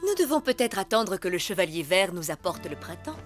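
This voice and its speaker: A woman voicing a gentle turtle mother in a cartoon, reading a storybook aloud expressively and playfully. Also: lowish voice, big pitch swings, fairly quick, soft background music. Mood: gentle, storytelling. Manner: dramatic, playful.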